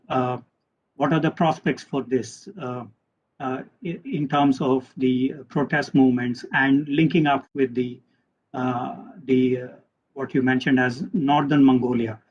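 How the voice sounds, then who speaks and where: A man's voice: medium pitch, steady tone, a little slow, darkish tone, slight background noise. A man moderating a panel discussion, polite and hesitant, with heavy use of fillers between words. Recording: dull lo-fi call audio.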